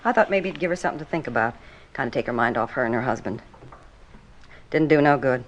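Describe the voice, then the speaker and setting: An actress playing a blunt, defensive, gossipy apartment manager with an American accent in 1950s radio drama style. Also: female voice, rumbling low, lively intonation, fast talker, a little hiss or hum, poor audio. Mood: confiding. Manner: blunt, gossipy, folksy clipped grammar. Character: brusque, defensive, talkative apartment manager woman with children. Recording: vintage 1950s radio recording.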